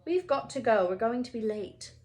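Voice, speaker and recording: A woman's voice: a little high, animated, moderate pace, a little mellow, natural voice. A woman voicing a blunt, irritable schoolboy in a storybook, curt and grumbling. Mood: annoyed. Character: blunt, irritable schoolboy, grumpy with his companions.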